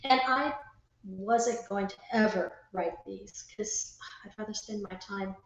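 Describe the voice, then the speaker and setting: A woman guest in a casual conversation, explaining her thoughts haltingly, with pauses between phrases. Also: medium pitch, creaky. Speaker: interview guest, writer. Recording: very echoey, roomy sound.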